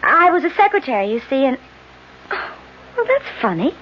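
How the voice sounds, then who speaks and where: An actress playing a bewildered, frightened young secretary, hesitant and emotive, in 1970s radio drama style. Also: female voice, high voice, very animated, moderate pace. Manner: breathy exclamations, hesitant, emotive. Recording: muffled, noisy old radio broadcast recording.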